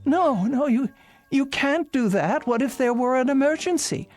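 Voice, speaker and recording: A woman's voice: high-pitched, expressive, normal speed, darkish tone, faint music behind. An older woman playing an anxious, querulous elderly invalid in a radio drama, speaking formally and insistently. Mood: agitated, insistent.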